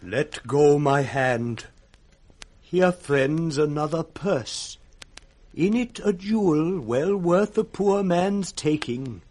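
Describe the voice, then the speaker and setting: Blind old British nobleman, despairing, courteous, slow solemn verse, highish voice, radio drama. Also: expressive, a little mellow. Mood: grave resolve. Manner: solemn, measured verse speaking. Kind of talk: 1960s BBC radio Shakespeare acting.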